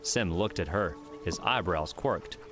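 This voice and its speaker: A man's voice: low voice, a little slow, music in the background. A man reading scripted fiction narration as an audiobook narrator.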